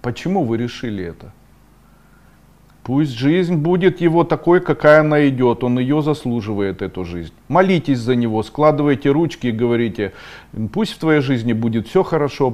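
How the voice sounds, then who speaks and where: Middle-aged guy reading chat questions on a webinar, blunt and scolding, mid pitch. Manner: blunt, scolding, with sharp rising outbursts. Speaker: esoteric webinar host answering viewer questions.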